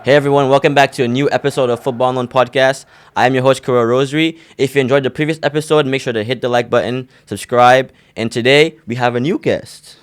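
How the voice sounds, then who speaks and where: A man's voice: medium pitch, steady tone, fast talker, few pauses. A man hosting a podcast, interviewing a guest in an eager conversational way with frequent short backchannels. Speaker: podcast host.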